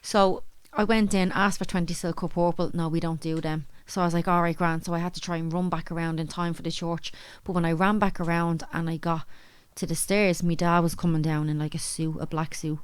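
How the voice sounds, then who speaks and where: A woman's voice: on the low side, little pitch movement, a little fast, few pauses, clear, resonant tone, no echo. An Irish woman with a Dublin accent, casually telling personal stories in a rambling, colloquial way. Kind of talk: casual chat, anecdotes.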